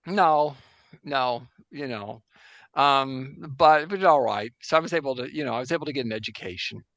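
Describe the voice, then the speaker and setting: A man playing a 17th-century Jesuit astronomer priest in a casual improvised interview over a video call, halting and full of restarts. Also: mid-range voice, a bit bright, dry recording, natural voice. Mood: wry. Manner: halting, full of restarts and fillers, joking anachronisms. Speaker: guest in role-play.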